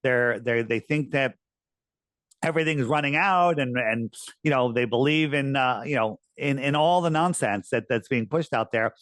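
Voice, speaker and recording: A man speaking as a podcast guest, earnest and emphatic, his voice worn and going hoarse. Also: moderate pace, fairly bright tone, dry recording. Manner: earnest, emphatic, rising pitch on key points. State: losing his voice. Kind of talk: conversational interview talk.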